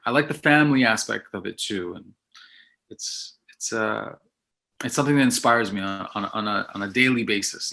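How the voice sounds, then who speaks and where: Bright-voiced reflective male filmmaker. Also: lowish voice, vocal fry. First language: Italian. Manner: reflective, many restarts and repeated words. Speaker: filmmaker guest. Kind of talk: casual interview chat.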